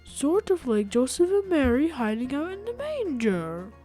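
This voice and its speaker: A woman's voice: high voice, very animated, a little slow, mellow, faint music behind. A woman voicing a haughty, disdainful candy cane with a drawl in a storybook reading. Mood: dismissive.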